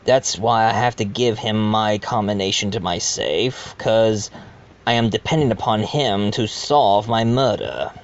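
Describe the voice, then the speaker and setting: A man's voice: on the low side, a little slow, slight background noise. A man playing the wry ghost of a murdered doctor who chats to the listener about his own murder in an amateur audio drama, on a muffled recording.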